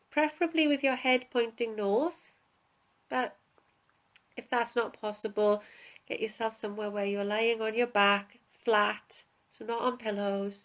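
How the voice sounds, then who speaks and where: A woman instructor guiding a relaxation session in a calm, unhurried, instructional way with pauses. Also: fairly high pitch. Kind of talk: guided relaxation, spoken instruction.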